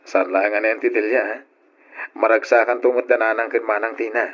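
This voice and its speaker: A man's voice: lowish voice, level tone, a little fast, close to an everyday voice. An actor playing an earnest young man in love in an emotional old radio drama. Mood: wistful, hopeful. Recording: dull lo-fi old radio recording.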